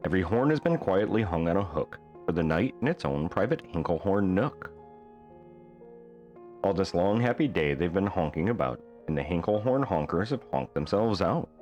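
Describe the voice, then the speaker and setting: A man reading a rhyming children's bedtime book aloud as an expressive storyteller. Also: deep voice, moderate pace, lo-fi sound, faint music behind.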